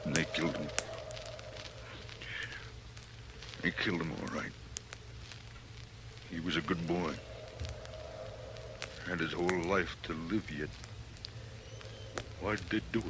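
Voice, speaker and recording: A man's voice: low-pitched, steady tone, measured pace, long pauses. An American man acting a hard, hot-tempered older rancher father, with blunt rustic speech in a 1950s radio western. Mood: grief-stricken. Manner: gruff, blunt, rustic drawl. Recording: noisy old radio recording.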